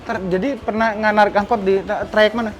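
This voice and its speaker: A man's voice: high voice, steady tone, a little fast, faint music behind. An Indonesian man hosting a car vlog, chatting casually and teasing his guest with short, clipped questions and replies. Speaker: car channel host. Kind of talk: casual chat, showing cars and questioning a guest.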